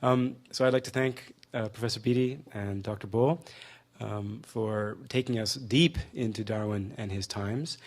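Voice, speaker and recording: A man's voice: lowish voice, fairly even intonation, normal speed. A male moderator running a lecture Q&A in a casual way with lots of fillers. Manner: casual, filler-heavy, friendly.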